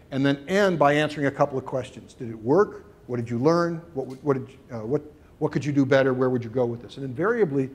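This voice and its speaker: A middle-aged man, an education researcher, answering interview questions in an expansive, discursive way with lively emphasis. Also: fairly high pitch.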